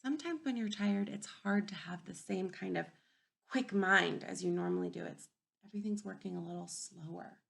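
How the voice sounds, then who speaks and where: A woman teacher reading a picture book aloud to young children, thinking out loud and prompting them with questions. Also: medium pitch, moderate pace, creaky.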